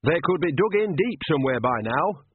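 A man voicing a shrewd, bossy older woman witch who talks in a brisk, folksy way. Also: on the high side, sing-song, a little hiss or hum, pitched above the performer's own voice. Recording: tinny phone-like dry sound.

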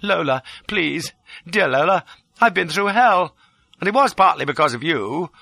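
A man's voice: a little high, lively intonation, normal speed, vocal fry, bright, forceful tone, dry recording, pitched above the performer's own voice, exaggerated voice. A man voicing a desperate, wheedling English rogue who pleads in an overwrought, exclamatory way, as in a dramatic audiobook. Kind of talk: dramatic audiobook character acting.

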